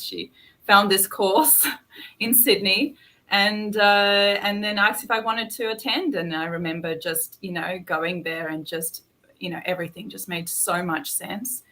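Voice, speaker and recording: A woman with a low but bright, forceful voice, recounting a personal story in a relaxed panel discussion. Her speech is full of fillers and restarts. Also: slight background noise.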